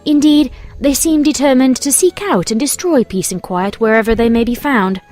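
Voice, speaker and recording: High-voiced English woman narrating a story. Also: moderate pace, darkish tone. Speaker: fan fiction reader and narrator. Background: music.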